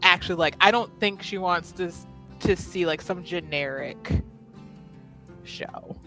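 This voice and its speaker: A woman's voice: fairly low pitch, a little slow, bright, forceful tone, soft background music. A woman, giggly and rambling as she improvises casually as a player in a tabletop roleplaying game.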